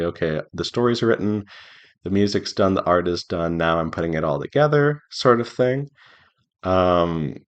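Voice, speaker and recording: A man giving an informal devlog update as an indie game developer, speaking haltingly as he thinks aloud. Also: low-pitched. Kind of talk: informal progress update to viewers.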